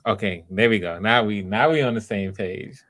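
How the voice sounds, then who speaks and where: A man's voice: fairly low pitch, moderate pace, fairly bright tone. A man hosting a live audition stream, talking casually in a rambling, conversational way. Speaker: audition host.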